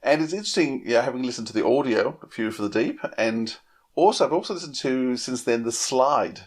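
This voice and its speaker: A man's voice: medium pitch, animated, brisk. A man with a middle-range voice speaks as a podcast co-host. His delivery is conversational and informal, like an easy discussion. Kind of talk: casual discussion.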